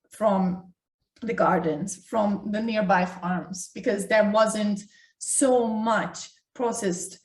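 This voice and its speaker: Woman, medium pitch, health talk co-host with emphatic delivery, recorded in a roomy space away from the mic. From Ukraine. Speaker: doctor co-hosting a health talk. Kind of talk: conversational health talk to an online audience. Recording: echoey room, distant mic.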